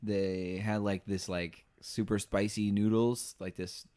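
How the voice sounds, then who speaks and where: Laid-back young man, lowish voice. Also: level tone, slow, warm tone, clean tone. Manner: laid-back, laughs often, trails off and restarts. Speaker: podcast co-host. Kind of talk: casual podcast chat.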